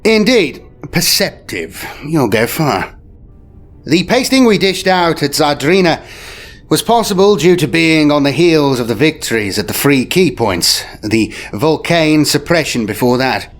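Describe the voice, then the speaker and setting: Guy doing a veteran space admiral, commanding n shrewd, very animated delivery, clipped military talk, music behind. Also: fairly high pitch, pitched above the performer's own voice. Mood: shrewd, resolute. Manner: declamatory, clipped military phrases with weighty pauses. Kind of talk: dramatic audio story character.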